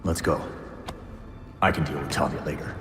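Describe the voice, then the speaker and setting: A man's voice: a bass voice, fairly even intonation, moderate pace. A man playing a loyal, defiant young vigilante in a video game, earnest and wry with emotional urgency. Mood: weary, wry. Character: gruff, loyal young male vigilante, wounded but defiant. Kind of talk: dramatic video game cutscene acting. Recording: echoey hall reverb with music.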